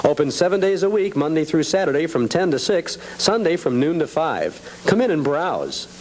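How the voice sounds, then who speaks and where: A man's voice: fairly high pitch, big pitch swings, moderate pace, background noise. A man presenting as the host of a television program, on an older cable TV recording. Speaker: TV show host and interviewer. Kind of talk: scripted ad narration and conversational interview.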